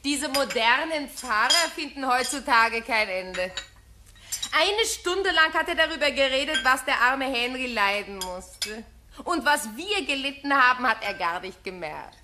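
An actress playing a brisk, bossy new widow with a dry, irreverent manner in a 1950s radio drama. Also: female voice, high voice, expressive. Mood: flippant, dry. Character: newly widowed shop owner, brisk, cool, irreverent lady. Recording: faintly noisy 1958 radio recording.

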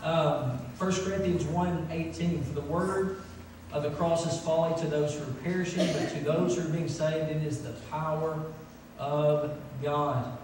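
A man's voice: a little high, steady tone, a little slow, a little mellow. A middle-aged man preaching a sermon, emphatically repeating phrases for stress. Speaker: pastor. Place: large church sanctuary, from the pulpit. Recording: echoey church hall, distant, faint noise.

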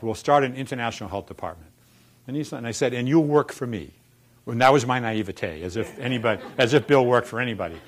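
A man in his sixties from Boston, with a Boston accent, telling wry stories in a relaxed lunchtime talk in a lecture room. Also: mid-range voice. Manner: wry storyteller, quoting people with punchlines. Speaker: former dean giving a talk. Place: dark lecture room in front of chalkboards.

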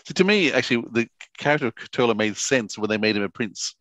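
A middle-aged man hosting a casual podcast interview, asking rambling, halting questions into a headset mic. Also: medium pitch, animated, a bit bright, no room sound.

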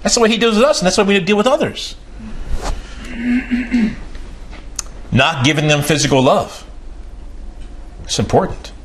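A man's voice: animated, normal speed, long pauses, slight background noise. Man in his 40s preaching, voice swings way up and down, hammers points by repeating them, small room. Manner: emphatic, repeats key phrases, pitch rises and falls sharply. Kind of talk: sermon.

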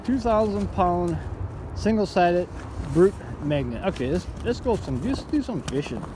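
A man's voice: sits high, lively intonation, measured pace, noisy background. A man in his forties filming himself outdoors on a frozen lake with a phone, chatting casually and cheerfully to his viewers. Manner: upbeat, chatty, talks to viewers as guys. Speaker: magnet fishing vlogger. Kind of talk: casual vlog chat. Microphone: phone camera held by the speaker.

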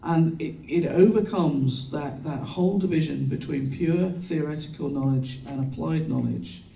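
A man's voice: fairly high pitch, normal speed, vocal fry, dark tone. Brit guy on a panel, echoey room, sounds far from the mic. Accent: British. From United Kingdom. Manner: hesitant, with many restarts and fillers. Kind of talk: panel discussion remarks. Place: seminar room with audience. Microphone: handheld mic, far camera.

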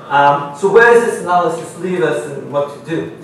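A middle-aged man with an Israeli accent delivers a measured, lecturing academic talk from a panel table, his voice sitting a little high. He is picked up by a table microphone from some distance, and the room around him rings with heavy echo and a faint hum. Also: expressive, gravelly. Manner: measured, lecturing, reads quotations aloud. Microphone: gooseneck table mic. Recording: very echoey room, mic at a distance.

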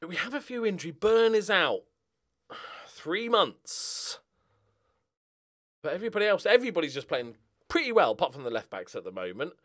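A middle-aged man hosts a gaming video in a fairly high voice. His pitch moves around a great deal as he rambles casually through the play. The delivery is wry and jokey, often at his own expense. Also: very animated, normal speed, pauses often, a bit bright. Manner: wry, self-mocking, jokey. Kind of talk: casual rambling game commentary.

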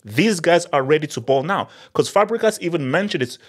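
Animated man giving football analysis. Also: fairly high pitch, a little fast, husky. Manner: animated, emphatic, repeats words for emphasis. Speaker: football channel host. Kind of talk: post-match analysis monologue. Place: small home studio, indoors. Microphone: studio mic on boom arm.

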